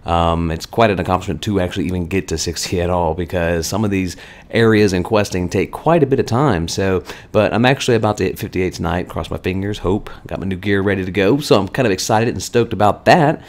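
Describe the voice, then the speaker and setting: Deep-voiced guy doing his weekly game news, kinda hoarse, chatty. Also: a little fast. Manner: chatty, conversational. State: slightly hoarse. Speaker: gaming channel host.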